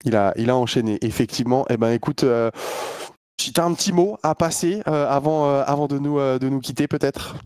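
A man's voice: medium pitch, a little fast, darkish tone, clear, resonant tone. A man casting an esports match, chatting casually with many fillers and restarts while he interviews a player. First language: French. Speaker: esports caster.

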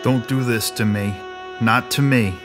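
Guy acting wounded defensive sergeant in an audio drama, terse, mid voice, music clearly behind him. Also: mid-range voice, steady tone, unhurried, lightly acted. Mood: pained, defensive. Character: gruff, guilt-ridden military sergeant, defensive and wounded.

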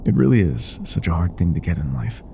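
A man playing an older ex-mafia boyfriend, wry, tender and self-doubting, with a husky, breathy voice in an intimate roleplay audio. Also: sits low, sing-song, brisk, dark tone, a little hiss or hum, exaggerated voice. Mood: tender, teasing.

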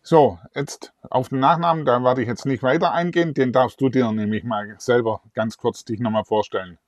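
Middle-aged host, rambling and friendly, dry lapel sound. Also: male voice, medium pitch, moderate pace, dry recording. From southwest Germany. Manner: chatty, upbeat, rambling. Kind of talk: online celebrity interview. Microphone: lapel mic.

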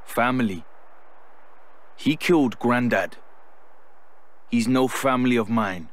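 A man's voice: mid-range voice, takes it slowly, close to an everyday voice. A man playing a guarded, stubborn young man in a video game cutscene, speaking curtly and defiantly. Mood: bitter. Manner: curt, stubborn.

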